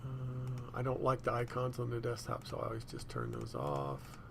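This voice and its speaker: A man presenting a software tutorial in a casual, unscripted way, thinking aloud as he goes. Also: mid-range voice, sing-song, normal speed, gravelly, background noise. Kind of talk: casual screencast demonstration.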